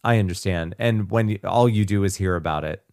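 A man's voice: deep voice, a little fast, a little mellow, no echo, studio quality. A man co-hosting a podcast in casual, sweary banter, slightly tipsy with faintly slurred speech. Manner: profane. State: tipsy on whiskey. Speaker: podcast co-host.

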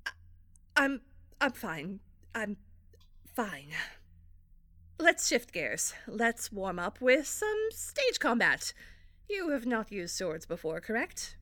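A woman plays a stern, somewhat strained acting teacher in an audio drama. She has a bright, forceful, fairly high voice and gives clipped, dry instructions at a slow, measured pace. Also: very animated, no echo, full character voice. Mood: uneasy. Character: strained, stern actress teaching stage combat.

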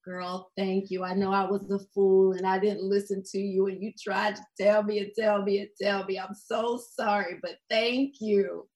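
Supportive friend, female reader, earnest and steady, mid-pitched, everyday natural delivery for a comic table read. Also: normal speed, hardly any pauses, natural voice, rough recording. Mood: grateful. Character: steady, caring woman friend taking charge.

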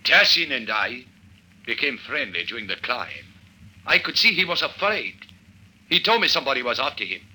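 A nervous foreigner in an old radio adventure. His voice is husky and very tense, with big swings of pitch. He speaks formally and haltingly, always on the defensive. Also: very animated, sharp tone, exaggerated voice, poor audio. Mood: anxious, defensive. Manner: formal, halting, uncontracted speech. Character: nervous, defensive foreign male mountain climber. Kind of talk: old-time radio drama acting. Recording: vintage radio drama recording.

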